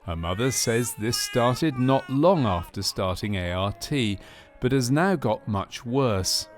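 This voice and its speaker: A man reading scripted documentary narration for a medical training film in a measured, factual manner. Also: medium pitch, lively intonation, soft background music. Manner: measured, factual delivery of clinical case history.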